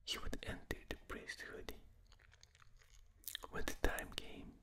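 A man with a non-native accent reading a novel aloud in a soft, fully unvoiced ASMR whisper on a binaural microphone, late at night. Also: slow pace. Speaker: ASMR reader. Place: dark room at night, reading from a tablet.

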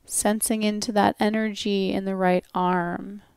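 A woman leading a guided relaxation as a yoga nidra instructor, speaking gently and soothingly with long pauses. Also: mid-range voice, level tone, gravelly, clean tone, dry recording, clean studio sound.

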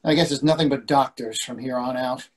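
A man's voice: mid-range voice, fairly even intonation, brisk, darkish tone, lightly acted. An older man reading the part of an anxious, bossy husband in a stage play, fretful and full of questions. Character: anxious, bossy, needling husband in long marriage. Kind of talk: readers theatre play reading. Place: indoors on a video call.

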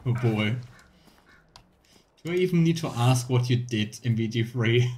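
A man's voice: mid-range voice, lively intonation, a little slow, a little mellow. A young man giving casual, playful live commentary as he plays a video game, chatting to the game and viewers. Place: small indoor room at home. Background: game music.

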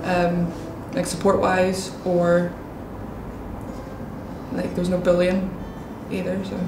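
A woman, speaking relaxed and trailing off in an interview. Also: fairly low pitch, slow, noisy background. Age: 20s. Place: indoor youth centre room. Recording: distant echoey voice over loud music.

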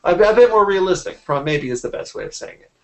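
A man's voice: sits high, lively intonation, quick pace, vocal fry. A man in his forties speaking as an interview guest in a casual conversation on a video call, often rephrasing himself mid-sentence. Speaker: interview guest, artist and art teacher. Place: small indoor room at home. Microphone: computer mic on a video call.

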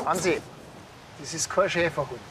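A man's voice: a little high, moderate pace, noisy background, lightly acted. An actor playing a grumpy, dry, exasperated small-town policeman with a Bavarian accent and a curt manner in a TV crime comedy. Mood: deadpan, curt.

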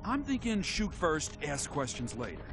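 A man's voice: fairly high pitch, wildly swooping pitch, moderate pace. A man playing a tough, wisecracking police officer, clipped and dry, in video game acting. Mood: wry. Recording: loud music behind the voice.

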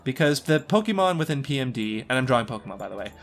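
Playful young man with lively pitch. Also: rapid-fire, vocal fry. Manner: playful, slangy, interjecting. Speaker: podcast co-host. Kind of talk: casual chat. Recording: music playing under the talk. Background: guitar music.

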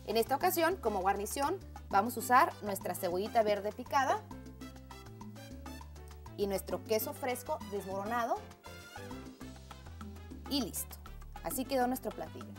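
A woman with a fairly high voice hosts a cooking demonstration, walking the viewer through each step in an upbeat, friendly way. Faint music plays under her voice, with a pan sizzling nearby. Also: animated, moderate pace, long pauses. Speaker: cooking show host.

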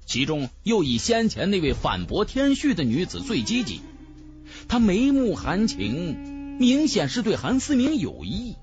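A male audiobook narrator tells a fantasy tale with dramatic flair. His voice sits fairly high and moves very widely in pitch, with soft music behind him. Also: measured pace. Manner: dramatic, expressive storytelling. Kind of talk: scripted fantasy novel narration. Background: background music.

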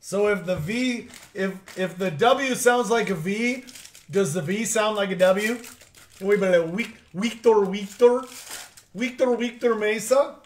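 A young man hosting a live trading card break, joking with viewers over player names and calling out pulls. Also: high voice. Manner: playful, joking with viewers over name pronunciations; drawn-out hype calls of big pulls. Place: indoor studio at a table.

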